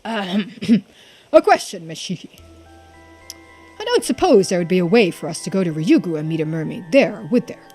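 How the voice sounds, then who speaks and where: A woman voicing a florid, well-spoken romantic gentleman in a video game, with formal, dramatic delivery. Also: lowish voice, very animated, moderate pace, soft background music. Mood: sheepish, formal. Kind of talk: video game dialogue read aloud in character.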